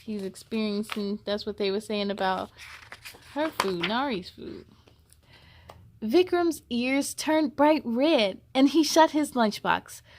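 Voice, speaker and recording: A woman reading a children's picture book aloud in an expressive, encouraging way, as if speaking directly to young listeners. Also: on the high side, slow. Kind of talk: children's read-aloud storytelling.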